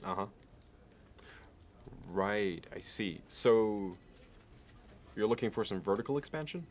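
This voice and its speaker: Male actor for courteous, fastidious Manhattan executive in ASMR roleplay; lowish pitch, unhurried, formal; some noise on the recording. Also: expressive. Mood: polite, businesslike. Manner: measured, halting, meticulously appraising, politely formal. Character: polished, courteous, obsessively appraising male Manhattan finance executive.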